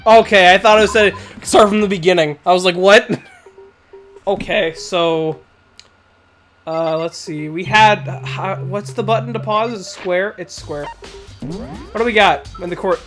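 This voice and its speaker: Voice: medium pitch, a little slow, a bit bright, soft background music. A streamer with a nasal, cold-stuffed voice, rambling casually to the chat while playing, with frequent laughs. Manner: rambling, chatty, laughs often, talks to the chat. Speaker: Twitch streamer playing a video game.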